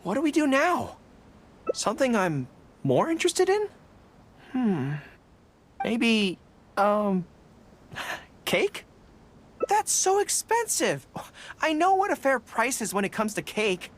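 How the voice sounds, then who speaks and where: A woman voicing a youthful, earnest and modest dog-eared general in a video game, expressive and a little hesitant, with music behind. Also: mid-range voice, takes it slowly, pauses often, full character voice. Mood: hesitant, surprised. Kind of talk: scripted game dialogue. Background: background music.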